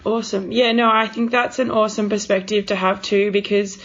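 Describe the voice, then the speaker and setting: A young woman conducts a casual interview. Her voice sits at an average pitch, and she speaks informally, affirming what she hears. The recording is a little dull and lo-fi. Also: steady tone, fairly quick, a bit bright. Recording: dull, lo-fi audio.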